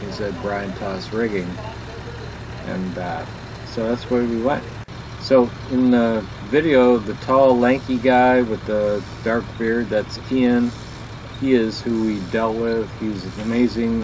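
A man, casually narrating a sailing vlog in a relaxed, conversational way. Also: lowish voice, background noise.

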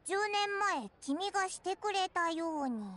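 A woman voicing a tiny, excitable, childlike fairy companion in an anime game, squeaky, with a blunt, boyish way of talking. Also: measured pace, exaggerated voice. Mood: curious. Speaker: character voice actor.

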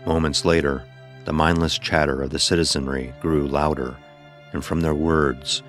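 A man's voice: very deep voice, fairly even intonation, normal speed, a bit bright, faint music behind. A man reading first-person scripted narration for an audio story.

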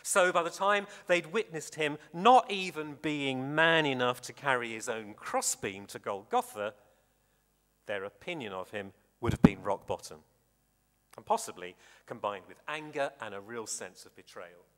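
A man preaching a sermon in a measured, deliberate way with dramatic emphasis, his voice echoing in a hall. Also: mid-range voice, lively intonation, pushed and bright. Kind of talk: sermon with scripture reading. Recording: reverberant hall, long echo.

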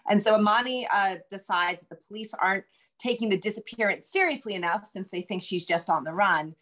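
A middle-aged woman answering as a guest author, conversational and explanatory, often halting to rephrase herself. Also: mid-range voice, fairly bright tone. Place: home room with bookshelves, indoors. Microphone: video call.

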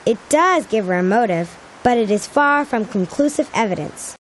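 A woman's voice: a little high, animated, normal speed, a little hiss or hum, close to an everyday voice, poor audio. A woman voicing a logical, studious young detective with formal, precise speech in a cartoon game. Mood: reasoning.

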